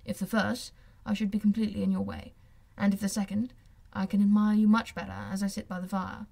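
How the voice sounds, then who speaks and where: A woman reader acts out a proud gentleman in a period novel. He is reserved and formal. Each sentence comes out measured and restrained. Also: medium pitch, creaky. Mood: cool. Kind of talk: audiobook character voice.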